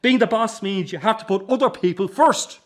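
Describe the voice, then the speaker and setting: An actor playing a driven, frustrated middle-aged son with an Irish accent, in a naturalistic radio drama. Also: male voice, high voice, fairly quick, natural voice. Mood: frustrated, forceful. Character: driven, frustrated son running the struggling family circus.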